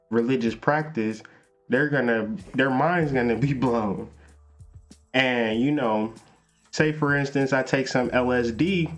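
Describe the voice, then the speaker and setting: A man hosting a spiritual talk show, reading passages aloud and riffing on them in loose street slang. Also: mid-range voice. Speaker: podcast host. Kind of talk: casual spiritual lecture.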